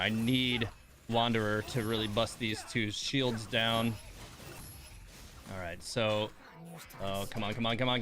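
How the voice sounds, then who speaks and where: A man with a lowish voice talks through live gameplay as a streamer. His delivery is casual and conversational, with mildly exasperated asides muttered half to himself. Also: a bit bright. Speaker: game streamer.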